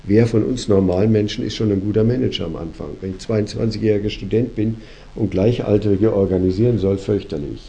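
A man hosting a conversation, a company founder who lectures reflectively and argues his points with many pauses and fillers. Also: fairly low pitch, gravelly, dark tone. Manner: reflective, argumentative, hesitant with fillers.

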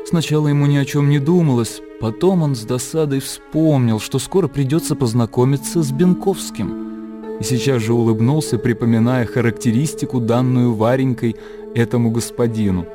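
A man narrating a literary radio drama in a measured storytelling manner. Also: medium pitch, music in the background.

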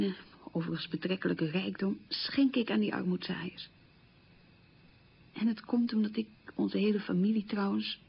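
An actress playing a frugal, middle-aged divorced woman haunted by wartime poverty, confiding and explaining herself in a radio play monologue, with a husky, creaky voice. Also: mid-range voice. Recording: dull lo-fi old radio recording.

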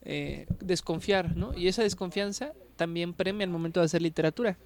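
A man's voice: high voice, fairly quick. A man in casual literary discussion, thinking aloud and ending nearly every phrase with a tag question. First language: Spanish. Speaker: book club podcast co-host.

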